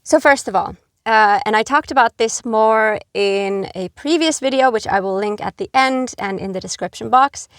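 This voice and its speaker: A middle-aged woman from Finland giving earnest, gentle advice to camera, with frequent fillers like "you know". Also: fairly high pitch, moderate pace, no echo, clean studio sound. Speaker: expat vlogger.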